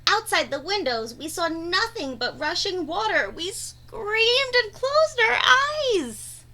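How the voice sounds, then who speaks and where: A woman reads a children's story aloud in a high, bright voice. Her pitch swings widely as she leans on key words with dramatic emphasis. The delivery is that of a teacher reading to young listeners. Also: very animated, slow pace. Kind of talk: expressive storybook read-aloud.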